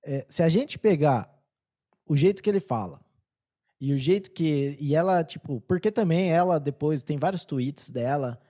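A Brazilian man with a soft-edged, mellow voice pitched a little high. He co-hosts a podcast in casual, slangy talk, often halting as he builds his point. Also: animated, husky. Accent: Brazilian Portuguese. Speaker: podcast co-host. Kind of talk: casual chat.

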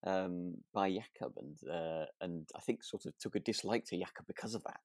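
A man's voice: sits low, moderate pace, a little mellow, dry recording, studio quality. A man co-hosting a podcast, chatting casually in a hesitant, rambling, agreeable way. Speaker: podcast co-host. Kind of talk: casual chat about a radio drama.

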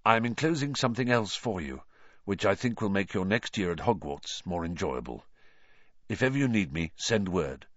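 A man voicing a low, earnest fugitive wizard godfather. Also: expressive, moderate pace, fairly bright tone, dry recording, highs cut off.

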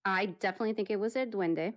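A woman hosting a podcast, reading a listener's creepy encounter story aloud in a steady storytelling manner. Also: mid-range voice, quick pace. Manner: steady storytelling read, plain and even.

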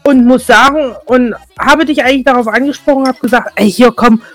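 Eine Frau in ihren Zwanzigern aus Deutschland, Co-Moderatorin eines Podcasts, plaudert entspannt und neckt gern. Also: female voice, fairly high pitch, brisk, dry recording, soft background music. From Germany. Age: 20s. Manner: relaxed, teasing. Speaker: podcast co-host. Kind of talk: casual chat.

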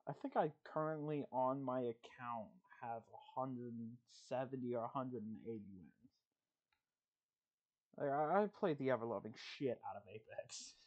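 A man's voice: mid-range voice, moderate pace, dull audio. A man hosting a podcast in casual chat, telling rambling stories with plenty of swearing. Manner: loose, profane, rambling storytelling. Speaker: podcast host.

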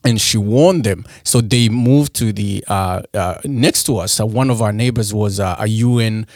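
Low-voiced man from Rwanda, halting. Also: dry recording, studio quality. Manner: soft-spoken, halting, many fillers and restarts. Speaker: guest. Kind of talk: personal story in a podcast interview.

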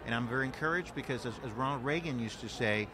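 A man's voice: mid-range voice, quick pace, background noise. A man from Puerto Rico, a guest being interviewed, speaking earnestly with frequent fillers and repeated words. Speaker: guest, political director. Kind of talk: conversational interview. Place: outdoor event.

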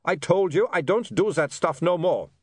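A man's voice: high voice, lively intonation, moderate pace, no room sound, studio quality, pitched above the performer's own voice. A man voicing an amicable, earnestly polite reformed male vampire for an audiobook. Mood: wounded, put-upon. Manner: earnest, formally polite, slightly insistent. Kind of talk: audiobook character voice.

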